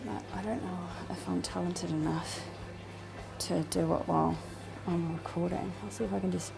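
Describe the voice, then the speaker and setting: A woman's voice: fairly low pitch, fairly even intonation, normal speed, creaky, music in the background. A woman with a New Zealand accent, chatting casually as she films her home fish room, rambling with plenty of ums and restarts. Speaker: fishkeeping vlogger. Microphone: handheld camera.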